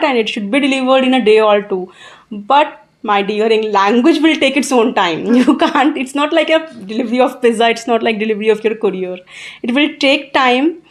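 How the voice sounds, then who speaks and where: A woman in her thirties, an English tutor with an Indian English accent, earnestly answering interview questions with advice. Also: a little high. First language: Hindi. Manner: earnest, encouraging, repeats points.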